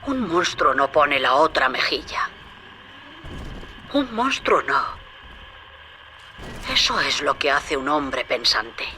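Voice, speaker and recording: A woman's voice: sits low, very animated, slow, pauses often, pushed and bright, a little hiss or hum, exaggerated voice. An actress playing a proud, bitter old woman in a video game, grave and dramatic. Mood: astonished, softening. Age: older.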